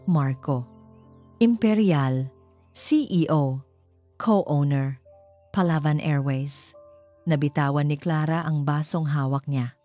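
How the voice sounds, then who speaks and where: Low-pitched Filipino woman narrating a dramatic story. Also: mellow.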